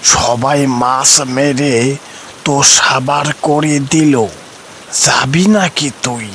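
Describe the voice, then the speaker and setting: Guy doing old village grandpa for a spooky cartoon story, real slow n teasing, slangy, bit of rain noise. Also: medium pitch, voice pushed down. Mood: coaxing.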